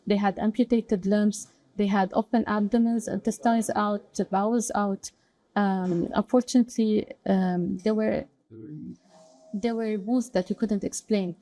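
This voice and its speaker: A woman giving an unscripted interview account, with halting, hesitant phrasing. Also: mid-range voice, steady tone, dark tone, low-quality recording.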